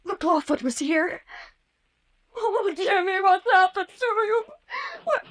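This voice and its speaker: Very shrill, melodramatic terrified young woman. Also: animated, slow, a bit bright, exaggerated voice. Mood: distraught, pained. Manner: melodramatic, wailing, pleading. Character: terrified, wounded young woman in a horror serial. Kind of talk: 1930s-40s radio horror acting. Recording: echoey old radio recording, distant mic.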